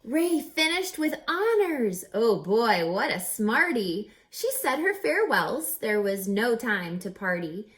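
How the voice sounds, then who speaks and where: A woman reading a rhyming children's picture book aloud as a teacher, with theatrical, deliberate, emphatic phrasing. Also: a little high, sing-song. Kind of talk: expressive children's read-aloud of rhyming verse.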